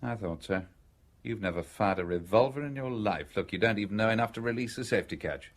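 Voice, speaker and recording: An actor playing a shrewd, coolly sardonic stranger in a radio drama, questioning directly and teasingly. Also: male voice, lowish voice, fast talker. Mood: commanding.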